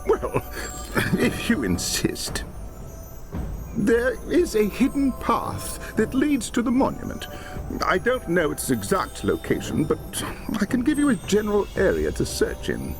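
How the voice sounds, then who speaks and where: A high, bouncy voice belongs to an excitable scholar. He hesitates, giggles nervously, and his pitch leaps all over the place. A fidgety bookworm from a video game. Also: measured pace, music in the background, full character voice. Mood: excited. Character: eager, nervous male scholar deciphering an ancient map. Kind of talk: video game cutscene voice acting.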